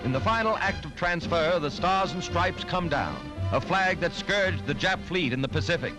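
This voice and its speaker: A man's voice: high voice, expressive, normal speed, poor audio. An American man narrating a scripted 1940s newsreel in an urgent, emphatic, declamatory manner. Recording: 1940s newsreel soundtrack with music. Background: orchestral music.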